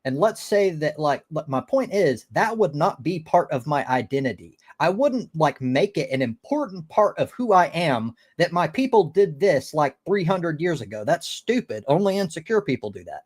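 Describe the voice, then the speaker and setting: A man's voice: fairly high pitch, big pitch swings, normal speed, hardly any pauses, a little mellow, slightly rough. An American man in casual debate on a live stream, blunt and opinionated, with some swearing.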